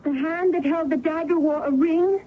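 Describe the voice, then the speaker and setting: Earnest, high-voiced young daughter. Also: female voice, steady tone, normal speed. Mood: shaken, insistent. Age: young adult. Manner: earnest, dramatic. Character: earnest, impressionable young daughter, easily shaken. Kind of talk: old-time radio drama acting. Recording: tinny, noisy old radio recording.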